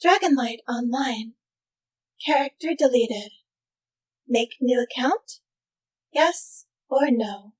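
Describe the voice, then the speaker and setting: A woman's voice: fairly high pitch, expressive, slow, long pauses, a little mellow, rough recording. A woman voicing an impassive game system that gives clipped announcements.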